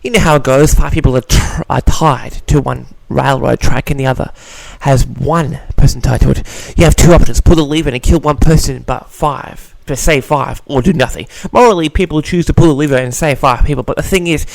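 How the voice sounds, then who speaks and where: A man's voice: medium pitch, fairly quick, vocal fry, hardly any pauses, no room sound, poor audio. A man hosting a casual audio log, reading out audience questions and answering them in a theatrical, mock-grand manner.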